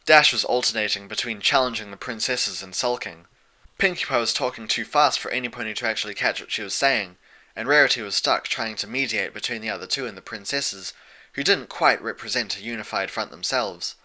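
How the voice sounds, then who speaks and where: A man's voice: on the low side, a little fast, pushed and bright, rough recording. A man narrating a fan fiction audiobook in a scripted, literary storytelling way.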